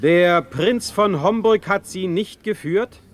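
Slow, stern, imperious ruler. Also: male voice, sits high. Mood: stern resolve. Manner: measured, commanding, formal. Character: stern, imperious ruling Elector of Prussia. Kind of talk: classical verse radio drama acting.

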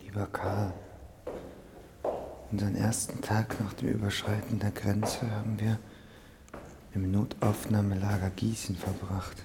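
An actor playing a brooding young would-be poet in a German radio drama, with a gravelly voice. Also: male voice, lowish voice, flat delivery, measured pace, pauses often, very noisy. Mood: hushed reading. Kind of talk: radio drama acting with inner monologue.

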